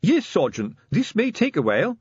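A stolid, prudish male sergeant, played by a male narrator, sounds uneasy and disapproving. His pitch is raised and swings widely in this audiobook performance. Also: wildly swooping pitch, moderate pace, a little mellow, husky, dry recording, highs cut off, exaggerated voice. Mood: embarrassed. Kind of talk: audiobook character acting.

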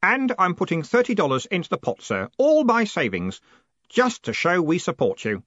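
Man playing an earnest, high-voiced young clerk. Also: huge pitch swings, moderate pace, a bit bright, dry recording, dull audio, exaggerated voice. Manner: deferential, earnest, enumerates pedantically. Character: earnest, eager, naive young male clerk. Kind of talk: audiobook character voice.

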